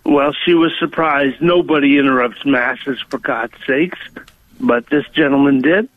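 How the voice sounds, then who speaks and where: A man's voice: mid-range voice, measured pace. Hesitant male caller on a tinny phone. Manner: hesitant, lots of ums. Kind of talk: call-in testimony. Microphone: telephone.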